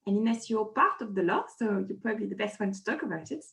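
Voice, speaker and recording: A woman's voice: medium pitch, lively intonation, fast talker, vocal fry, fairly bright tone. Woman hosting a webinar with fillers. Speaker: webinar host. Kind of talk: webinar presentation.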